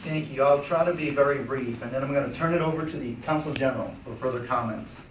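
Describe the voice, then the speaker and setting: A man hosting a press conference, delivering formal prepared remarks in a measured way. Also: mid-range voice, a crowd in the background, background noise. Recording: echoey room, distant mic.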